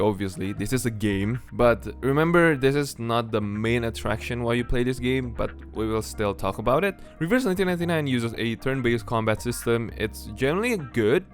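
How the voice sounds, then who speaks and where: A male reviewer narrates a game video in a medium-pitched voice. His delivery is scripted but conversational and blunt, with faint music behind him. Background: background music.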